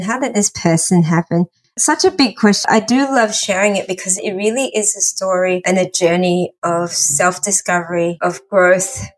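A woman from Malaysia and Singapore, a guest on a podcast, tells her life story in a casual, animated voice. She talks warmly and laughs now and then, breaking off and restarting her sentences. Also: lowish voice.

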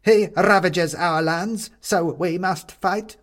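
A man voicing a lumpen goblin king who declaims in slow rhyming lines. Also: high voice, fairly bright tone, pitched above the performer's own voice. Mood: grim.

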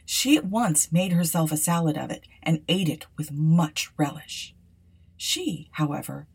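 A woman reading an old fairy tale aloud for a podcast, often dropping to a hushed whisper. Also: fairly low pitch, breathy edge. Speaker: podcast storyteller.

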